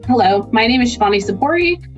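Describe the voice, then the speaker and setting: A woman's voice: a little high, animated, fast. A woman guest-hosting a business podcast, asking polished, upbeat interview questions. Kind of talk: scripted-sounding interview hosting. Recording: dull lo-fi audio with faint music.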